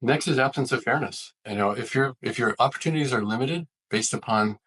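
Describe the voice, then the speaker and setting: A man's voice: medium pitch, fairly quick, a bit bright, slightly rough, poor audio. A man, an expert guest in an interview, explaining his points earnestly and methodically. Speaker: physician, author and leadership expert interviewed as guest.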